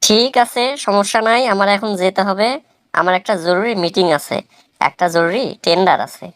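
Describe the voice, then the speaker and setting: A woman playing a placating, boastful husband in a comic cartoon, coaxing and flattering with a rural Bangladeshi accent. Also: medium pitch, normal speed, dry recording, studio quality, natural voice. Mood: boastful, agreeable. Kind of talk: melodramatic comic cartoon dialogue.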